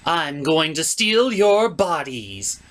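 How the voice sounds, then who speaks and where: Guy doing a bossy evil unicorn lady, puppet show style, threatening n blunt, pitch all over. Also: very animated, slow, a bit bright, heavily acted. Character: bossy, menacing female villain unicorn with a broken horn.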